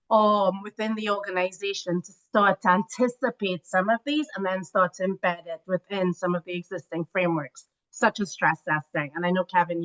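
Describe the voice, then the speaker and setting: Female interview guest from Trinidad, explanatory expert talk, mid-pitched voice, dull recording. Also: fairly bright tone. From Trinidad, Caribbean. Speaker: guest, corporate treasury and risk expert. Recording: dull, band-limited call audio.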